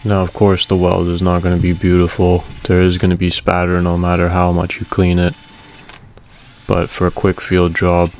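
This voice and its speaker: A man casually narrating a welding repair video in a relaxed, matter-of-fact way. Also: deep voice, normal speed, slight background noise.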